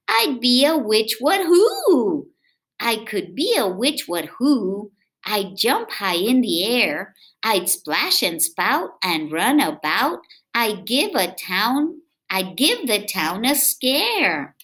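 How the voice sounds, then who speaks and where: A woman doing an expressive picture-book read-aloud for children, drawing out words and adding chatty questions to her young listeners. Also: a little high.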